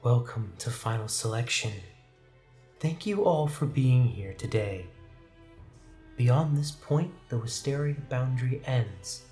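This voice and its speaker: A man's voice: mid-range voice, expressive, takes it slowly, warm tone, roomy sound. A man plays a pair of eerie, blank ten-year-old twins in a tabletop fantasy game. The delivery is formal, solemn and emotionless, with music underneath. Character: two blank-faced ghostly ten-year-old twins speaking in unison.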